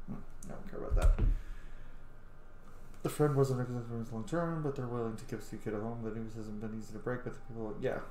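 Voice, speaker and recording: A man in his thirties doing informal, sweary game commentary, thinking out loud and reading text aloud. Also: mid-range voice, steady tone, quick pace, slight background noise. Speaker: gaming video host. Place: small room at home, indoors. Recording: roomy, echoey home recording.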